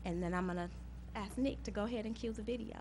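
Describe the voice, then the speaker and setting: A woman's voice: mid-range voice, quick pace, a little mellow, a little hiss or hum. A woman presenting an English learner program update to a school board, in a conversational, earnest way with frequent ums.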